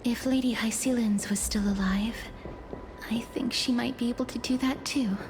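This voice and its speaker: Woman playing a soft, breathy, solemn young woman. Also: on the high side, fairly even intonation, background noise, natural voice. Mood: pensive. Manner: formal, measured, gentle. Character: soft-spoken, solemn, dutiful young woman with deathly power. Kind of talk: anime game voice acting.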